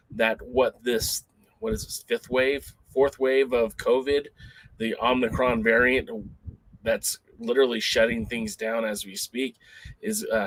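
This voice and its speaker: A man's voice: mid-range voice, fairly bright tone, poor audio. A man hosting a casual talk show, rambling and conversational with lots of ums and uhs. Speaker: podcast host.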